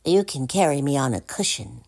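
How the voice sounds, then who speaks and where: A woman's voice: a bass voice, big pitch swings, normal speed, creaky, a little mellow, voice pushed down, exaggerated voice. A woman doing a cheeky, greedy talking frog. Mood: demanding. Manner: wheedling, cheeky, demanding. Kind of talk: storybook reading.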